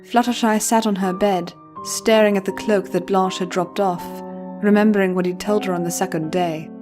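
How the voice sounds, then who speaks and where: Woman narrating a story over soft music. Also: mid-range voice, steady tone, normal speed. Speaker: audiobook narrator. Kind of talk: scripted dramatic story narration. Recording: faint music behind narration.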